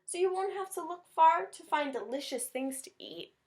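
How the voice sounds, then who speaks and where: A woman's voice: very high-pitched, animated, normal speed, a little mellow, pitched above the performer's own voice. A woman voicing a happy, hopeful little mouse with an upbeat, friendly manner, in a children's picture-book read-aloud.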